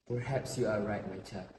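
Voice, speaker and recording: A man playing a solemn, sorrowful grandfather in his eighties, speaking deliberately as in an amateur stage play. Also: on the low side, close to an everyday voice. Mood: sorrowful, resigned. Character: sorrowful, grave old grandfather of nearly eighty-three. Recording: roomy echo with faint noise.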